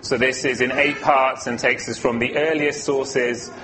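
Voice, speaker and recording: A man's voice: mid-range voice, fairly even intonation, measured pace, rough recording. A man from Wales giving an earnest, informal teaching talk as a guest speaker at a church, with a few fillers.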